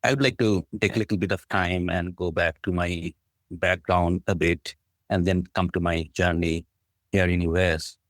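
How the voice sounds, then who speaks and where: A man's voice: sits low, fairly even intonation, moderate pace, no room sound. A middle-aged man from Bangladesh with a Bangladeshi accent, earnestly telling his story as an interview guest in a conversational way. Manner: earnest, appreciative, frequent "like, you know". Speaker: podcast guest, business school dean.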